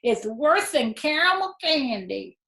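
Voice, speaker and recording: A put-upon, sarcastic storybook rat played by a woman, griping and mocking in a theatrical way. The voice sits high above an everyday register, and the room adds a clear echo around it. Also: sing-song, takes it slowly, bright, forceful tone, full character voice. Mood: grumbling. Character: greedy, sarcastic, complaining male rat, self-pitying and put-upon. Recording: roomy indoor echo.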